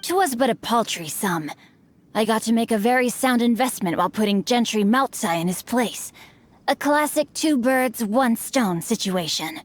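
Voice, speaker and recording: A woman's voice: a little high, expressive, normal speed, natural voice. A woman voicing a witty, bookish young male heir in a game cutscene, speaking formally with dry, teasing wit.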